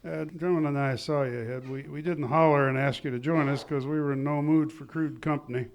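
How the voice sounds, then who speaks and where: Pompous family man played by an actor, slightly high male voice, wordy condescension, old-time radio comedy. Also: fairly even intonation, fairly quick, lo-fi sound, natural voice. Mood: haughty, scornful. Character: pompous, haughty small-town husband and father. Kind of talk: 1940s radio comedy acting, live on stage. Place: live theatre stage.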